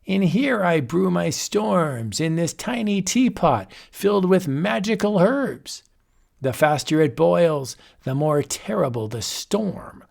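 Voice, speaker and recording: A man's voice: high voice, animated, slow, gravelly, a little mellow, voice pushed up, exaggerated voice. A man voicing a grandiose, unhinged old male warlock who rants theatrically and rises to shrieks. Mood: menacing. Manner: ranting, theatrical, rising to shrieks.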